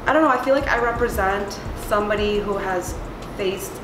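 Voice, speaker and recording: A woman's voice: mid-range voice, fairly quick, noisy background. A woman in her late twenties from El Salvador, raised partly in Chicago, talking casually and candidly in an interview, often saying like and you know. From El Salvador, moved to Chicago at age six. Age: late 20s. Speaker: music video creator being interviewed. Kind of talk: casual reflective interview talk. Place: small bright window-lined room, indoors. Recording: roomy echo with music underneath. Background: music.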